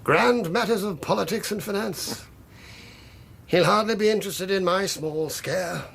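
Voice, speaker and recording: An actor playing an irascible older English country squire in a radio drama, gruff and indignant, with a raspy, gravelly voice. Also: male voice, high-pitched, a little slow, fairly bright tone, slight background noise, full character voice. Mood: jovial. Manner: gruff, indignant, old-fashioned bluster.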